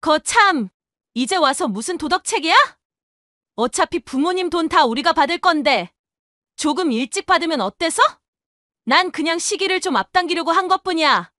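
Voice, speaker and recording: Woman doing brazen snippy young daughter in law, super high voice, swings all over, sarcastic, audio drama. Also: lively intonation, normal speed, sharp tone, dry recording, clean studio sound, exaggerated voice. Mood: defiant, mocking.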